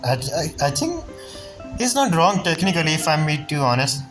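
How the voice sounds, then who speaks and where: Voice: medium pitch, very animated, normal speed. A game streamer giving casual, informal reactions in short muttered interjections and repeated phrases. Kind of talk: casual gameplay commentary. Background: faint game music.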